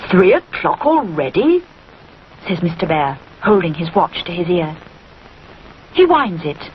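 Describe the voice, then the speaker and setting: A woman's voice: on the low side, sing-song, unhurried. A woman narrating a scripted children's story as a storyteller. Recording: slightly muffled, faint noise.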